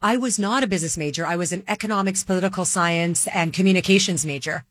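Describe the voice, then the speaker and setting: A middle-aged woman from Dubai in the Middle East, answering interview questions in a chatty way, with lots of "like" and "you know". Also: fairly low pitch. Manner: chatty, quick, with many fillers like "like" and "you know". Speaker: guest, marketing executive.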